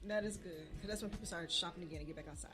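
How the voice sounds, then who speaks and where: Chatty woman with a low voice. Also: music in the background. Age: 30s. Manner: chatty, laughs often, drawn-out fillers. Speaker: podcast co-host and small business owner. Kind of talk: casual podcast chat.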